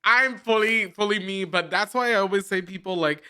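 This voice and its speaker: Bright, earnest man with slight Spanish accent. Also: high voice, moderate pace, clear, resonant tone. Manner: earnest, laughs easily. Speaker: co-host interviewer. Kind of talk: casual interview talk.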